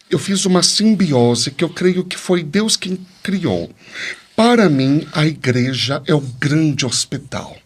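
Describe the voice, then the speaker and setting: A man with a Brazilian accent and a fairly high-pitched voice tells his own story as a podcast guest. He is an expressive storyteller who asks rhetorical questions and voices bits of dialogue as he goes. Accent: Brazilian Portuguese. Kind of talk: personal storytelling in a podcast interview.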